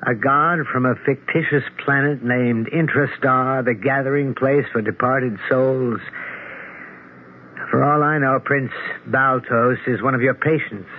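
An actor playing a blunt, dry, skeptical scientist in a 1970s radio drama. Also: male voice, mid-range voice, slow pace. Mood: incredulous, scornful. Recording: tinny, noisy 1970s radio broadcast.

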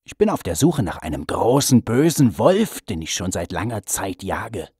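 Protective fairy-tale huntsman, played by a woman: very deep, gruff, animated, firm and kindly. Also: normal speed, dry recording, clean studio sound, exaggerated voice. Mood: concerned.

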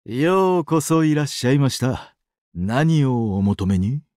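An actor playing a sadistic, weeping angel of doomsday in a mobile game, exaggeratedly polite and dramatic, swinging between sorrow and glee. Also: male voice, mid-range voice, very animated, unhurried, a little mellow, no echo, clean studio sound. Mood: solemn, courteous. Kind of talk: Japanese mobile game character acting.

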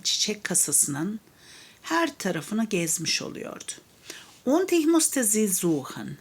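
A woman with a Turkish accent, a native Turkish speaker, reading a children's tale aloud as a language teacher, deliberately explaining and translating it phrase by phrase. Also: medium pitch, slightly rough. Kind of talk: read-aloud story with line-by-line translation.

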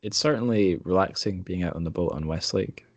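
A man's voice: low-pitched, fairly quick, dry recording. A man hosting a casual podcast interview. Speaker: podcast host.

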